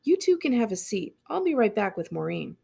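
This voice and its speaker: A woman's voice: mid-range voice, big pitch swings, fairly quick, vocal fry, a little mellow, slightly rough, pitched above the performer's own voice, heavily acted. A woman voicing a friendly, harried female office receptionist in an audiobook, with a casual, folksy, apologetic manner. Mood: puzzled, helpful. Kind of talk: audiobook character voice.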